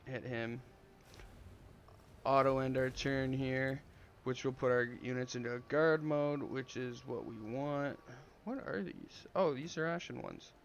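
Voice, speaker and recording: A man's voice: mid-range voice, measured pace, creaky, a little hiss or hum. Man with a cold, rambling game commentary. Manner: relaxed, rambling, many ums and uhs. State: has a slight cold, sniffling. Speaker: gameplay video creator. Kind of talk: casual gameplay commentary. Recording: dull lo-fi audio.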